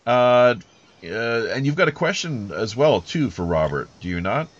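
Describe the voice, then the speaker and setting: A man's voice: mid-range voice, sing-song, moderate pace. A man hosting a casual interview podcast, talking in a wordy, rambling, roundabout way on a lo-fi connection. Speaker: podcast host. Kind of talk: casual interview chat with pirate-themed banter.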